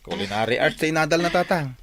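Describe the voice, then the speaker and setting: A man's voice: on the high side, expressive, fast, fairly bright tone. An actor playing a cheerful, affectionate young married man in a radio drama, with a Northern Philippine Ilocano accent. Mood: proud, amused.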